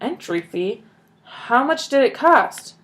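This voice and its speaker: A woman's voice: a little high, very animated, slow, fairly bright tone, a little hiss or hum, voice pushed up, full character voice, low-quality recording. A woman voicing a wide-eyed, eager country boy who asks breathless, wondering questions.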